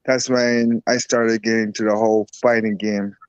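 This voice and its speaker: A man's voice: on the low side, little pitch movement, clean tone, dry recording. Man from Barbados, dull dry sound. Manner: unhurried, rambling with repeats. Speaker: guest, herbalist and boxer. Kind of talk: casual interview talk.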